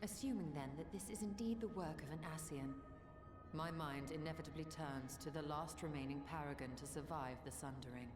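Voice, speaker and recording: A woman voicing a serious, learned sorceress in a fantasy game. Her voice is very low for a woman, calm and formally measured. There is clear room echo and music behind her. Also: lightly acted. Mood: serious, certain. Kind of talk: scripted fantasy game voice acting.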